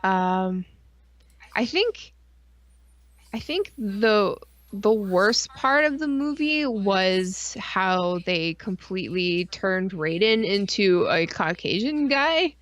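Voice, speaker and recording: A woman co-hosts a live stream in a voice of average pitch. She talks casually and rambles along like an enthusiastic geek. Her speech is full of slang and swearing. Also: expressive, slow, a bit bright. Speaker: podcast co-host.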